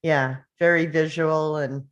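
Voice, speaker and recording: A woman's voice: low voice, steady tone, measured pace, highs cut off. A woman speaking hesitantly and in a laid-back way in a casual group discussion.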